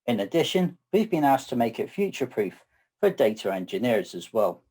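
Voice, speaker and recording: A middle-aged man with a West Country English accent, co-presenting a technical session in a measured, slightly wry way. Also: mid-range voice, very animated, darkish tone, breathy edge. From southwest England. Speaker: conference co-presenter. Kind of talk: scripted tech presentation.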